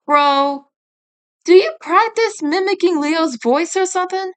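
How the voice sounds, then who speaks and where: A blunt teenage turtle brother from a story, voiced by a woman, pitched high. He is guilt-ridden and awkward with feelings, and he speaks in unhurried lines. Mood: amused.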